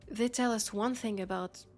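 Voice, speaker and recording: A woman's voice: fairly high pitch, fairly even intonation, takes it slowly, faint music behind. A woman with a French accent playing a worried, disillusioned policewoman in an intimate sci-fi roleplay, confiding in a hesitant way. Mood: troubled, uneasy. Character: worried, disillusioned young policewoman in a dystopian city. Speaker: roleplay audio performer.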